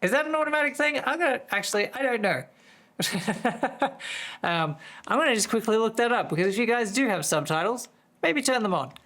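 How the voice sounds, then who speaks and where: A streamer with a voice that is going hoarse, chatting casually to viewers in a distracted, rambling way. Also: mid-range voice, lively intonation, fairly quick, a bit bright. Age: adult, working full-time. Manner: easily distracted, rambling with many restarts. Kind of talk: casual live-stream chat.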